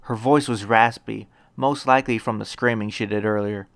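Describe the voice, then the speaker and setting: A man's voice: fairly low pitch, steady tone, moderate pace, creaky, no echo. A man reading scripted third-person story narration.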